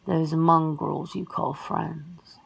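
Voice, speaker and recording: A woman playing a wistful, reserved vampire sister in an ASMR roleplay, speaking slowly and formally in a hushed voice. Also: deep voice, level tone, gravelly, slightly rough, full character voice. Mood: disdainful. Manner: slow, hushed, formal, measured. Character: wistful, reserved, long-lived vampire sister, lonely wanderer.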